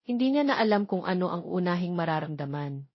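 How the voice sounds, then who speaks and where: A woman's voice: on the low side, animated, fairly quick, no echo, muffled recording. A woman with a Filipino accent reading a scripted romance story as narrator.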